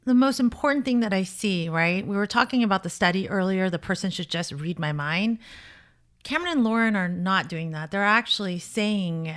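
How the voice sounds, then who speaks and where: A woman's voice: mid-range voice, animated, moderate pace, fairly bright tone. Emphatic, blunt woman giving dating advice. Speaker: dating coach and show host. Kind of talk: conversational relationship advice commentary.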